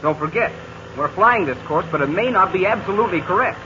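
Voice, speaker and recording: Keen boy sidekick Chuck, fast and lively. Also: high voice. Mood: reasoning. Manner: keen, quick-thinking. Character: eager clever teenage boy sidekick Chuck. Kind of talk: 1940s radio acting. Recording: dull lo-fi 1940 radio recording with hiss.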